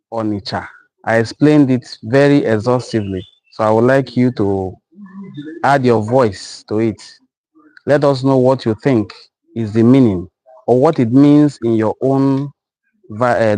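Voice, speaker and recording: Mellow Nigerian man hosting a live stream. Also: mid-range voice, rough recording. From western Igbo land, Nigeria. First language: Igbo. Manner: deliberate, repeats his points and appeals to viewers. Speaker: host of a cultural forum live broadcast. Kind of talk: live-stream talk to an online audience.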